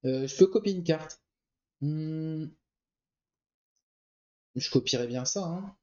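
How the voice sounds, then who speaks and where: A man's voice: fairly high pitch, slow pace, mellow, muffled recording. A man with a cold, thinking aloud as he comments on a card game he plays. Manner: thinks aloud, muttering choices. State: has a cold. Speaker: gameplay commentator. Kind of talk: relaxed let's-play commentary.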